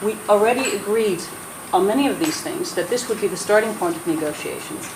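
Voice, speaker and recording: Dutch woman, american accent, earnest panel talk in an echoey hall, clear noise behind. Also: medium pitch, fairly quick. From Netherlands, raised in the US. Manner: earnest, persuasive, many restarts. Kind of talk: roundtable speech.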